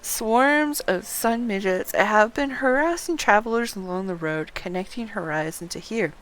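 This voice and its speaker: A woman reading aloud the dialogue of a friendly, chatty quest giver in a fantasy game, deliberately paced. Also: medium pitch, a bit bright, lightly acted, rough recording. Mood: earnest, persuasive.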